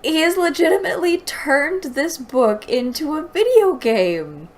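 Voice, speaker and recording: A woman's voice: high-pitched, sing-song, a little slow. A woman hosting a book review, reading aloud and commenting with exasperated sarcasm. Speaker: host of a book review show.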